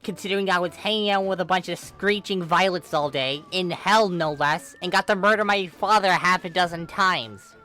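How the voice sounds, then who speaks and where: A woman voicing a brash teenage delinquent girl with a loud, taunting, slangy way of talking. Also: on the low side, moderate pace, hardly any pauses, soft background music, pitched above the performer's own voice.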